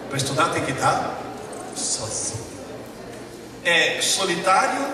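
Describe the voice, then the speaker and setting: A man speaking with an Italian accent gives an emphatic lecture to a hall audience. His voice is high and swings widely as he drives home his points, and it is caught from a distance in a large, echoey room. Steady noise hangs behind him. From Italy. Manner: emphatic, rhetorical questions, addresses the audience directly. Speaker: professor giving a lecture. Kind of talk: public lecture to a live audience.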